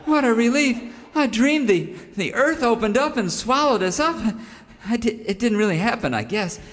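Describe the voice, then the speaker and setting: A gentle, guileless young-at-heart cowboy sidekick, pitched high and quick to exclaim. It is a comic radio western serial, heard with a reverberant hall sound. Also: male voice, lively intonation. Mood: relieved. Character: naive, excitable, gentle male cowboy sidekick. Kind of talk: parody of old-time radio western serial.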